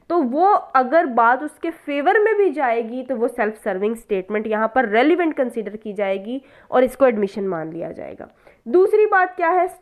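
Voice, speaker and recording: Woman, Pakistani accent, higher-pitched. Law tutor style: didactic, example-led, question-and-answer delivery with English terms mixed in. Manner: didactic, uses rhetorical questions and check-ins; mixes English legal terms into speech. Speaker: law lecturer. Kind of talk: explanatory law lesson with examples.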